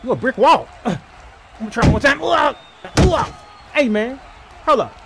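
A man's voice: very high voice, very animated, brisk, very noisy. A man doing profane, slangy, exaggerated comedy voiceovers of athletes and referees. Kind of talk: comedic voiceover dubbing over sports clips.

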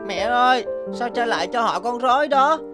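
A woman puts on a young child from an animated folk tale. The voice is high, puzzled and a little grudging, with music clearly heard behind it. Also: moderate pace, natural voice.

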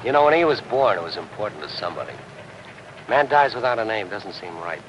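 An American actor playing a world-weary newspaper reporter in a 1950s crime drama, speaking in terse, clipped phrases. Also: male voice, lowish voice, sing-song, fast, dull audio, a little hiss or hum. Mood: grim, brooding. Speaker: lead actor. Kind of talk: 1950s crime drama acting, hard-boiled narration. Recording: 1950s TV film soundtrack, loud music.

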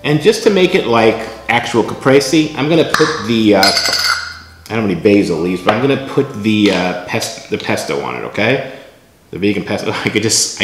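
A man's voice: lowish voice, noisy background. Chatty man in an echoey kitchen. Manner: chatty, often tags lines with "right?"; demonstrates food while talking to camera. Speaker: vlog host. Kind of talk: casual kitchen vlog. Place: home kitchen, indoors.

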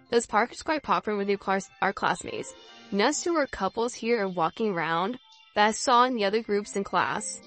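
A woman's voice: medium pitch, fairly bright tone, lightly acted. Polite, inquisitive young woman voiced by an actress. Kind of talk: visual novel character dialogue.

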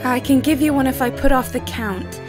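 A woman's voice: high voice, normal speed, over music, natural voice. An actress playing a poised, proud young lady of period society, composed, dry and proper, in an audio drama.

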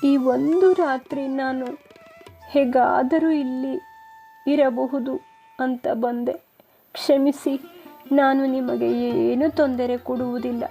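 Woman voicing a high-pitched, trembling little storybook bird. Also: fairly even intonation, takes it slowly, creaky, pauses often, warm tone, faint music behind, full character voice. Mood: timid, pleading. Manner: trembling, tearful pleading. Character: tiny frightened female bird, timid and pleading. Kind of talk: children's cartoon storytelling.

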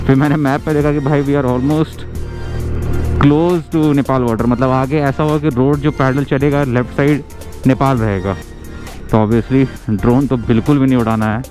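A man's voice: mid-range voice, a little mellow. A young man chatting casually while riding a scooter on hill roads, mixing Hindi and English and grumbling with jokes. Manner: chatty, mixes Hindi and English, jokey complaints. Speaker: motovlogger.

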